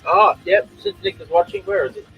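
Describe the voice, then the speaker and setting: A man's voice: very high voice, wildly swooping pitch, fairly quick, creaky, highs cut off, soft background music. A man with an Australian accent hosting a drawing stream, chatting casually and reading out viewers' comments. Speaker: comic artist and stream host.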